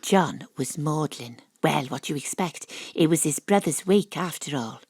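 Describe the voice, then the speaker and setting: A wry investigator tells her story in a comic detective audio play. Her delivery is dramatic and swings widely in pitch, recorded close and dry. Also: animated, normal speed, darkish tone, husky, no room sound, exaggerated voice. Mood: wry, businesslike. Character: Astrid, wry female Welsh private investigator narrating.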